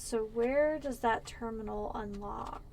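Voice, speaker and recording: A woman's voice: on the high side, unhurried, creaky, a little mellow, a little hiss or hum. A woman from Pennsylvania with a Californian accent, chatting casually and rambling as she streams a game. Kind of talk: casual gameplay chat.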